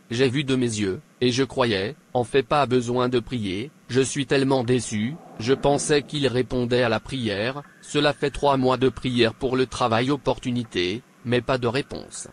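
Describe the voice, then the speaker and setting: A man's voice: medium pitch, natural voice. Guy voicing a broke hungry cartoon man, proud, pleading and complaining, noise in the background. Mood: bitter, disappointed. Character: desperate, proud, hungry jobless man praying to God.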